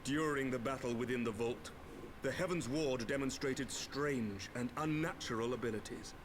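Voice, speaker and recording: A man's voice: mid-range voice, moderate pace, close to an everyday voice. Earnest noble young knight over noisy background male actor. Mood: grave, thoughtful. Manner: formal, archaic, earnest. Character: earnest, formal young nobleman knight, troubled dutiful son. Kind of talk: dramatic video game voice acting.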